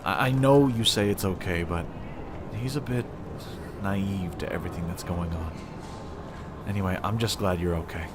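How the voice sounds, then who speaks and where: A man's voice: sits low, moderate pace, pauses often, lightly acted. An actor playing a caring, worried refugee man with a casual, protective manner in an audio drama. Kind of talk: naturalistic audio drama acting. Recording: noisy public station ambience.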